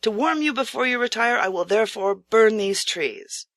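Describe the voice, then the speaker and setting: A woman's voice: a little high, lively intonation, moderate pace, a bit bright, dry recording, clean studio sound. A woman voicing an earnest, courteous, impoverished samurai living as a humble farmer, in formal old-fashioned speech for an audiobook. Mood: regretful, kindly. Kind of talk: audiobook character acting.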